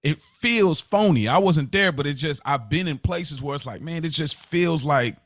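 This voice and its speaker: A man in casual podcast conversation, earnest and halting, repeating phrases for emphasis. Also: on the high side, no echo, studio quality. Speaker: podcast co-host.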